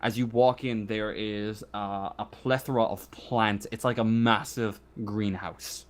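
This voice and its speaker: A man improvising narration as game master of a tabletop roleplaying game, thinking aloud in a halting way. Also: fairly low pitch, steady tone.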